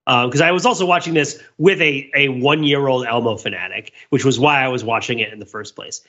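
A man hosting a casual, humorous pop-culture chat, telling things in a rambling, animated way. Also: mid-range voice, fairly quick, dull audio. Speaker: podcast host.

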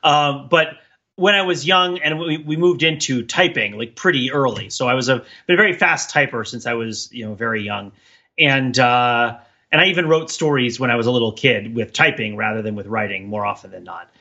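A man's voice: medium pitch, fairly quick, pushed and bright, highs cut off. A man co-hosting a podcast in casual chat, discursive, thinking aloud and often checking his points with "right?". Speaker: podcast co-host.

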